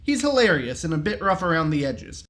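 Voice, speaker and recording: A man giving a casual, enthusiastic review in an animated, jokey, slangy way. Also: fairly high pitch, a little fast.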